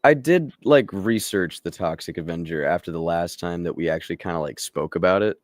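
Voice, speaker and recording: A man's voice: low-pitched, a little fast, no room sound, studio quality. A man chatting casually and jokily as a panelist on a podcast. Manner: informal, jokey. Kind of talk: casual chat.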